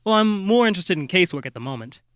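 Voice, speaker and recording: Man acting a folksy, higher-voiced amateur detective. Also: expressive, fairly bright tone. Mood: businesslike, halting. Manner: halting, folksy, polite. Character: earnest small-town amateur detective, polite, a little bumbling. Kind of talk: comic radio-drama acting.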